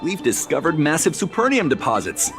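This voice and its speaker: A man's voice: fairly high pitch, huge pitch swings, fairly quick, music in the background. A man playing an earnest, proud envoy from a distant planet in a video game, eager and formally polite. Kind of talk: scripted video game dialogue.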